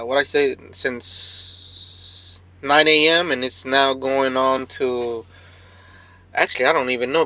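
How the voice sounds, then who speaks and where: A man's voice: mid-range voice, bright, forceful tone, no room sound. A man talking casually to viewers as a truck driver vlogger, in a laid-back, rambling, slangy way. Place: cab of a parked truck.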